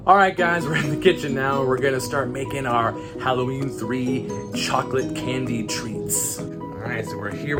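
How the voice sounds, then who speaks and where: Enthusiastic man hosting over loud music. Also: on the high side. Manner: enthusiastic, emphatic, jokey. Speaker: host of a movie night vlog. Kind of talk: casual chatty vlog presenting. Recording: loud music bed under voice.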